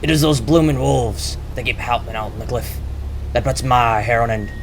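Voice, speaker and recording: Man as a grumbling mid-pitched asylum attendant. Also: moderate pace, crowd noise, close to an everyday voice. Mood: grumbling, jumpy. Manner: colloquial, grumbling. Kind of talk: radio drama acting.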